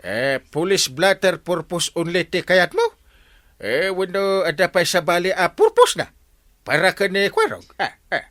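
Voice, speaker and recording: Scolding barangay captain, Ilocano accent, excitable comic radio acting, very animated pitch, dry close sound. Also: male voice, measured pace, no room sound, soft background music, heavily acted. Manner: exclamatory, starts phrases with "eh". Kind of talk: comedy radio drama acting.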